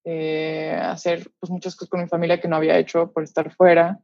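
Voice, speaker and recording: A young woman with a Mexican accent talking casually and chattily in an interview, using relaxed slang as she shares personal stories. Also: fairly low pitch, a little fast, creaky, dry recording, highs cut off. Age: young adult. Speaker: interview guest, orchestral musician. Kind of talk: casual conversation, personal anecdotes.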